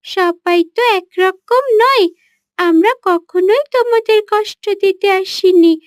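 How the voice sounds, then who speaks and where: A woman's voice: very high voice, takes it slowly, no echo, studio quality. A woman voicing a polite, earnest young girl in a cartoon, with a questioning manner. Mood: earnest, pleading. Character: polite, earnest young city girl, hurt but friendly. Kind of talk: cartoon character dialogue.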